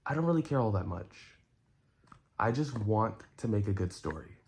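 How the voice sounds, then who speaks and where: A man speaks in a fairly low voice, addressing his online viewers directly. His delivery is casual and earnest, like an unscripted explanatory rant. He moves haltingly through his thoughts and often repeats a phrase to drive it home. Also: lively intonation, gravelly, a little mellow, slight background noise. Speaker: content creator and streamer.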